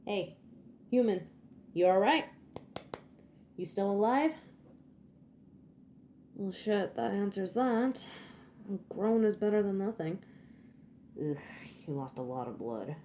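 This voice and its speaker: A woman's voice: medium pitch, animated, slow, pauses often, mellow, a little hiss or hum. A woman playing a cocky, teasing werewolf in a scripted audio roleplay, sardonic and protective with an edge of menace, speaking to the listener. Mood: concerned, muttering. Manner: sardonic, taunting, playful.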